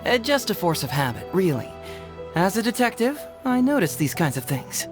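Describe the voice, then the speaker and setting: Breezy, clever young detective. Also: male voice, high voice, very animated, moderate pace. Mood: breezy, apologetic. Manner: casual, sly, self-assured. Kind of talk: English video game voice acting. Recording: faint background music.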